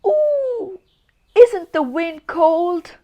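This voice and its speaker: Woman acting a plaintive, slow-speaking snowman. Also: very high-pitched, sing-song, dark tone, voice pushed up, heavily acted. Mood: plaintive, miserable. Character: big gloomy snowman, easily alarmed, slow and drowsy.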